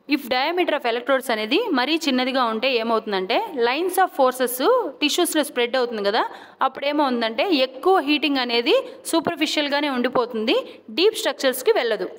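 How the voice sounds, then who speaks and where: A woman with a Telugu Indian accent giving a scripted educational lecture as an instructor, deliberate and point by point, mixing in English technical terms. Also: high-pitched. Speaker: physiotherapy instructor. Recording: long hall-like reverb.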